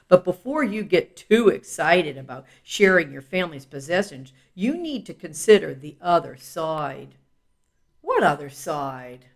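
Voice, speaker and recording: Female audiobook reader, lowish voice, very varied intonation, instructive children's narration addressed straight to the child. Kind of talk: scripted narration of a children's advice book.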